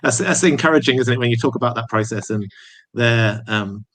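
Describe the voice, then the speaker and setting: A man's voice: mid-range voice, fairly quick, a bit bright. A man hosting an interview, encouraging his guests and stumbling over false starts. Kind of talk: casual interview.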